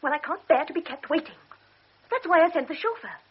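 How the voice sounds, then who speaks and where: An actress playing a proud, sharp-tongued invalid young woman who speaks intensely and reproachfully in a classic radio drama. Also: very high-pitched, expressive. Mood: reproachful, angry. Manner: intense, reproachful, quick to flare. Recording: dull old radio recording.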